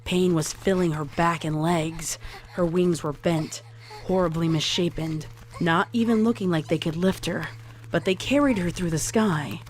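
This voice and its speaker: A woman narrating a scripted horror story in a deliberate, dramatic way. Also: fairly low pitch.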